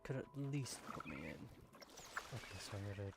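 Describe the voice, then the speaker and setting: A man giving casual, low-key commentary as he plays a game, half muttering to himself. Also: animated, moderate pace. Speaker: gaming commentator. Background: game music.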